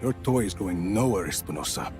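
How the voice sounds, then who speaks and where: A man's voice: low voice, lively intonation, fairly quick. A man acting a grim, defiant action hero in a video game, terse and dryly sardonic, with threat in his words. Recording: very loud music and action sound. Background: very loud music.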